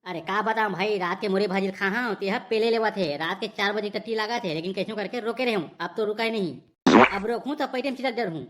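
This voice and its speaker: Woman voicing a fast-talking, jittery Chhattisgarhi village lad. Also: medium pitch, natural voice. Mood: crude, complaining. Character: jittery, wisecracking young village men in trouble. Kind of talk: Chhattisgarhi comedy cartoon voice acting.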